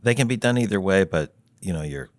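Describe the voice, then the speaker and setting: A man with an extremely low, deep voice talks through a business topic in a measured way, pausing often and restarting as he thinks. The sound is dry and close, with no room behind him. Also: lively intonation, no echo. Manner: measured, thinking aloud with many fillers and restarts. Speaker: treasury consultant guest. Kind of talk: explanatory podcast conversation.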